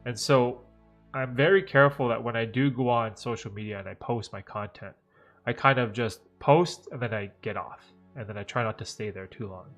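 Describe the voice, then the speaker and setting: A man in his thirties hosting a live question-and-answer stream, talking in a relaxed, rambling way with lots of "like" and "right?", his voice a little nasal and stuffed up from allergies. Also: medium pitch, moderate pace, soft background music. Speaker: livestream host answering viewer questions. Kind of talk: casual live Q&A chat.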